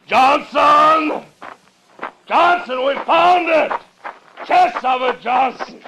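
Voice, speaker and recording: An actor playing a fevered, gold-crazed treasure hunter in a melodramatic, raving, halting style of 1950s radio drama. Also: male voice, extremely high pitch, noisy background, exaggerated voice. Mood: wild elation.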